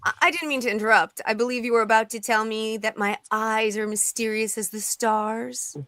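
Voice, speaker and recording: A woman's voice: fairly high pitch, steady tone, normal speed, a bit bright, no echo, clean studio sound, close to an everyday voice. A woman playing a confident, flirtatious female archaeologist in a live script table read. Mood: sly, confident.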